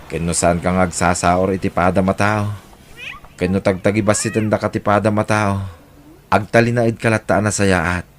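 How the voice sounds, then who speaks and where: An actor plays a humble, God-fearing father in an audio drama, with an Ilocano accent. His voice sits very low, and he speaks gently and earnestly, taking his time over each thought. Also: fairly even intonation, normal speed, natural voice. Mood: humble, forgiving. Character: warm, devout, humble elderly Filipino father.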